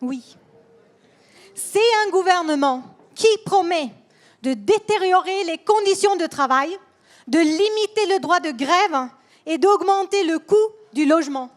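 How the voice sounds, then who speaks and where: A woman with a Swedish accent, speaking in a second language and giving a political speech at a rally, careful and deliberate, repeating words as she goes. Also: very high voice, lively intonation. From Sweden. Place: rally hall.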